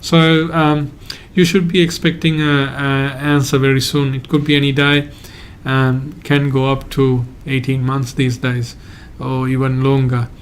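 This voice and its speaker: A man from Sri Lanka, a migration agent hosting a live Q&A and answering viewer questions in a halting, hedging way. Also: mid-range voice, flat delivery, a little hiss or hum. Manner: halting, hedges often, reads out viewer questions.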